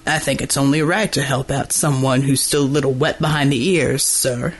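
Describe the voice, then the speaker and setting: Husky, gruff giant of a dockworker acted by a man. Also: steady tone, moderate pace, natural voice. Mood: pointed, indignant. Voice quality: gruff, rumbling. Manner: folksy working-class American speech, defiant. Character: huge, gruff older dockyard foreman, proud and hot-tempered. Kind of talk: audiobook character dialogue.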